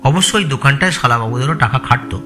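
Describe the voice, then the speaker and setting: A man's voice: mid-range voice, animated, quick pace, natural voice. A man acting a loyal, respectful long-time employee in an audio story, giving plain deferential explanations. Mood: respectful, reminiscing. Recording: music bed behind the voice.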